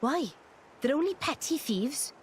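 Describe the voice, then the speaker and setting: A woman's voice: high-pitched, animated, unhurried, a little hiss or hum. An actress playing a blunt, hot-tempered young noble girl in a video game cutscene. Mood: impatient. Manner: blunt, impatient.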